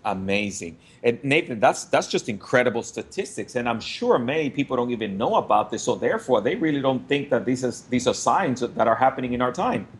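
Male pastor co-host from the Dominican Republic, warm agreeing interjections and fillers. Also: mid-range voice, lively intonation. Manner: affirming, fillers, quick laughs. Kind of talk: conversational broadcast discussion.

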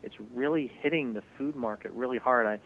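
Co-host, male, measured and fact-heavy delivery, tinny lo-fi line with faint hum. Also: mid-range voice. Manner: reels off statistics, measured. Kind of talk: conversational broadcast discussion.

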